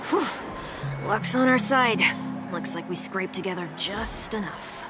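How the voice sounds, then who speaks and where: A wry, quick-witted young heroine, voiced by a woman, who asks pointed questions in an easy, middle-pitched voice. The voice is recorded for a video game, with loud game music behind it. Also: natural voice. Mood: curious. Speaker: player character. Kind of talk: game voice acting.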